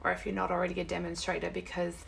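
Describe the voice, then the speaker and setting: Croaky woman hosting a craft live. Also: lowish voice, monotone, racing, a little hiss or hum. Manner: chatty and rambling, greets viewers by name, apologetic. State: croaky, sick with a sore throat. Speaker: craft demonstrator and live-stream host. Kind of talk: casual live craft tutorial chat. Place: at home at her craft desk.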